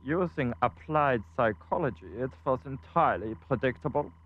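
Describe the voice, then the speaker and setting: An actor playing a pompous, scheming cartoon villain with a precise, haughty manner. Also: male voice, medium pitch, moderate pace, muffled recording, a little hiss or hum, lightly acted. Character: pompous scheming villain with a cold, logical mind.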